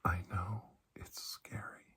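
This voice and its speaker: A man speaking in a soft, breathy ASMR roleplay as a calm, kindly doctor, gentle and reassuring with unhurried pauses. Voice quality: breathy, hushed. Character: calm, kindly male doctor soothing a frightened patient. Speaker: ASMR performer playing a doctor.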